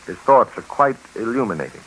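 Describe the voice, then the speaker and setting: An American man narrating a 1950s radio documentary drama in an earnest, measured, rhetorical manner. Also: mid-range voice, big pitch swings, pushed and bright, slight background noise, low-quality recording. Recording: vintage radio recording, music.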